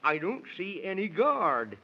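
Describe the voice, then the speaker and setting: Very high-pitched, jolly moon man. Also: sing-song, a little slow, creaky, heavily acted. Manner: chuckling, folksy exclamations. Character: jolly, excitable Man in the Moon, kindly companion. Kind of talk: 1930s children's radio serial acting. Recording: muffled 1930s radio recording.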